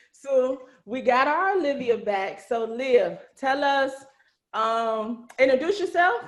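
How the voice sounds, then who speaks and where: A woman hosting a casual group video-call chat, talking in a chatty, slangy way with frequent laughter. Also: a little high. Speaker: host and cast member of an audio series.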